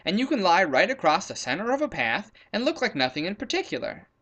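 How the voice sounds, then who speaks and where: A man voicing a practical, bossy hunter in a children's storybook, brisk and instructive. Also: sits high, huge pitch swings, a bit bright, exaggerated voice. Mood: pleased, brisk. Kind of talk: storybook character voice in a read-aloud.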